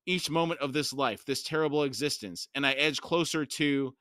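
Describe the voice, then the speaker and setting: A man's voice: fairly high pitch, moderate pace, a bit bright, no room sound, close to an everyday voice. Guy playing a withered old woman in a fantasy tale, bitter, pleading, anguished, tense voice, dry recording. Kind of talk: audiobook-style fantasy storytelling.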